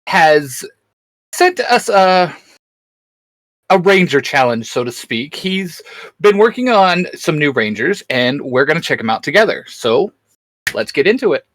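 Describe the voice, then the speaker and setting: A man's voice: on the high side, big pitch swings, measured pace, fairly bright tone, no room sound. A young man hosting a YouTube channel in casual, slangy chat.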